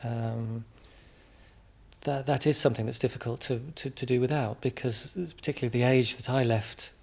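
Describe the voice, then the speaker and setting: Englishman as interview guest: informal, thoughtful, halting delivery with repeated words. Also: mid-range voice, steady tone, creaky, darkish tone. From England, Midlands. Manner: hesitant, reflective, repeats words as he explains.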